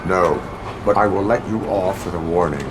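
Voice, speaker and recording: A man playing a stoic cyborg police officer in a video game, speaking in a flat, clipped, formal way. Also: low-pitched, normal speed, noisy background, close to an everyday voice.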